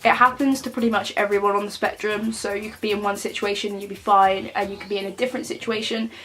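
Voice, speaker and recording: A woman's voice: mid-range voice, level tone, fast, fairly bright tone, a little hiss or hum, poor audio. A woman in her twenties talking to camera in a casual vlog, sounding nasal from a cold, chatty and plain-spoken with many fillers. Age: 20s. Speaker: vlogger.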